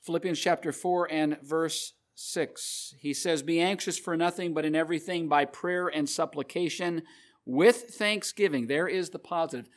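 Male preacher, earnest and measured, slightly higher voice. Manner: earnest, repeats scripture references, measured emphasis. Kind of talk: sermon.

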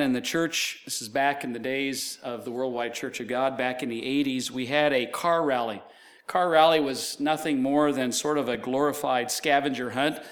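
A middle-aged man preaching a sermon, losing his voice, telling stories with casual asides. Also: medium pitch. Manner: conversational storytelling with asides. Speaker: pastor.

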